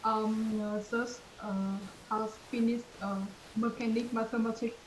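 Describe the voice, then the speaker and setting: Woman, from Vietnam, high voice, slow, hesitant, faint hiss. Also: little pitch movement, reverberant, poor audio. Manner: hesitant, many fillers. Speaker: student.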